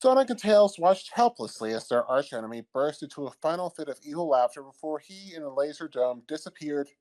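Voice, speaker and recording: A man reading scripted comedic fanfiction as the narrator. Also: a little mellow. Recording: old-timey low-quality mic, background music.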